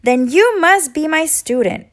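A woman voicing an excitable, bossy little female bird teacher in a children's storybook, chirpy and insistent. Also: high-pitched, unhurried, pitched above the performer's own voice. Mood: eager, insistent.

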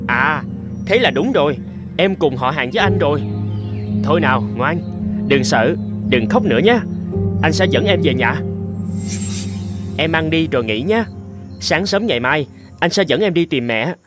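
A man's voice: fairly high pitch, expressive, normal speed, pauses often, over music, natural voice. A man voicing a kind young big-brotherly rabbit in a cartoon, speaking in a friendly, comforting, earnest way.

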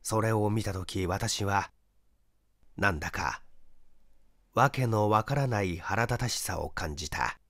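A man reading a mystery story aloud as dramatic narration, measured and expressive with deliberate pauses. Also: on the low side, dry recording, studio quality. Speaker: story reader, stage actor.

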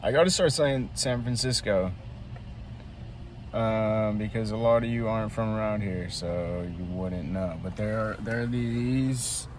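A man's voice: on the low side, unhurried, a little mellow, a little hiss or hum. A young man in his twenties talking casually to a phone camera held at arm's length, rambling with ums and self-corrections as he goes about his day. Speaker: vlogger. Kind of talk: casual daily vlog to camera. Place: house living room, then park outdoors, then inside a car.

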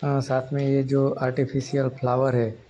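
A man from India, narrating a casual shopping vlog with hesitant, halting phrasing. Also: medium pitch, completely flat delivery, dark tone. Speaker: vlogger. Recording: dull lo-fi audio with background noise.

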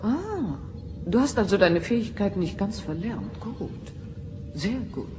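Haughty, theatrical alien mother, swooping pitch. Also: big pitch swings, unhurried, crowd noise. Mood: imperious. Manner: theatrical, condescending. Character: haughty, meddling telepathic alien mother, middle-aged. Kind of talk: dubbed sci-fi audio drama acting.

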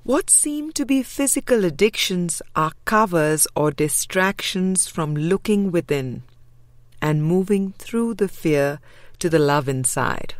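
A woman reading a spiritual audiobook with measured, deliberate phrasing. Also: lowish voice, dry recording. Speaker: audiobook reader.

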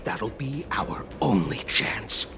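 A cold, menacing armored conspirator in a game, speaking low and slow in a hissing hush. His scolding stays quiet but hard. Music plays under him. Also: big pitch swings, exaggerated voice.

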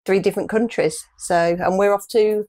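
A woman's voice: medium pitch, fairly even intonation, normal speed, darkish tone. A woman in her fifties with a British accent, chatting casually as a co-host. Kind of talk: casual chat. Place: small furnished room, indoors.

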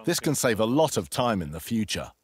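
Farmer testimonial, plain businesslike read, expressive low voice. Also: male voice, a little fast, breathy edge. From Belgium. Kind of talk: customer testimonial interview.

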